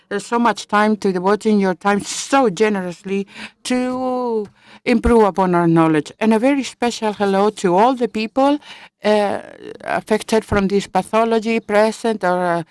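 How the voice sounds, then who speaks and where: A woman giving simultaneous interpretation of a conference speech, halting, with fillers and self-corrections. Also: medium pitch, darkish tone, smooth, no echo.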